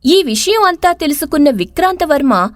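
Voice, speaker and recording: A woman's voice: high-pitched, very animated, moderate pace, dry recording, clean studio sound. A woman narrating a scripted moral story as a storyteller.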